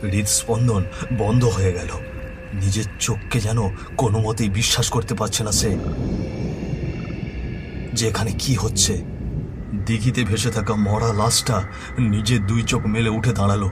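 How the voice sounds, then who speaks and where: A man's voice: lowish voice, steady tone, normal speed, long pauses. Male horror storyteller over music. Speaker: storyteller, narrator. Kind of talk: dramatic scripted horror narration.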